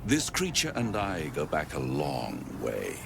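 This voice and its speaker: Deep, suave, theatrical devil. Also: male voice, wildly swooping pitch, unhurried, background noise, heavily acted. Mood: urgent, guarded. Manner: grandiloquent, rhyming, smoothly condescending. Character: suave, scheming male devil, theatrical and menacing. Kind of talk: theatrical video game acting.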